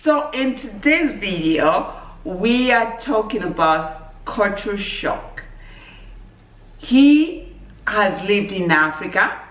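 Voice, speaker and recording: A woman from Zambia, chatting casually on her vlog in a relaxed, measured way and laughing easily. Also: mid-range voice. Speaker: vlogger. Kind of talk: casual chat. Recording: echoey room, mic at a distance.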